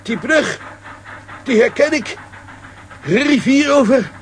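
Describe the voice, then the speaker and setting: An actor playing a slow, odd comic character in a children's audio drama, with a thin, husky voice and clipped phrasing. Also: male voice, extremely high pitch, animated, exaggerated voice. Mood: halting.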